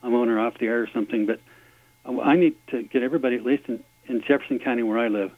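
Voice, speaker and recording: A man calling a radio show as a campaign volunteer, earnest and halting with frequent fillers. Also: mid-range voice, dry recording. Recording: tinny phone line.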